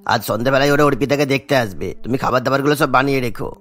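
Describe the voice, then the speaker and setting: A man voicing a practical middle-aged village father who gives brisk instructions in a cartoon. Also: medium pitch, a bit bright, faint music behind, lightly acted. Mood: matter-of-fact. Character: practical village father, a day labourer husband. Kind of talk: Bengali cartoon voice acting.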